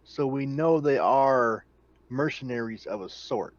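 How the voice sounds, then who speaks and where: A man's voice: mid-range voice, expressive, unhurried, no echo. Questioning man, dry lo-fi recording. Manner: questioning, practical. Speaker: tabletop game player. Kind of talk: casual game chat.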